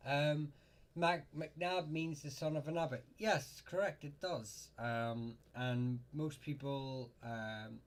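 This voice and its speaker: A man hosting a casual live Q&A, answering viewer questions in a halting way with lots of ums and uhs. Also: fairly high pitch, fairly even intonation.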